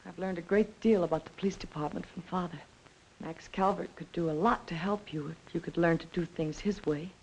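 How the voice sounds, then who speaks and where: A woman's voice: lowish voice, fairly even intonation, a little mellow, slight background noise, natural voice, rough recording. An actress playing a poised, earnest young woman under suspicion in a 1940s film noir, speaking politely and carefully. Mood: subdued, composed. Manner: measured, polite, hesitant. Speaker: film actor. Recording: 1940s film soundtrack with score behind.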